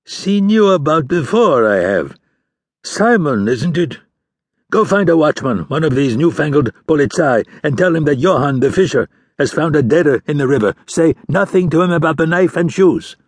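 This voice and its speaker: A man voicing a gruff, bossy older fisherman with a put-on who barks orders. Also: on the high side, animated, normal speed, no room sound, clean studio sound, voice pushed up. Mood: commanding. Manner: barking orders, scolding.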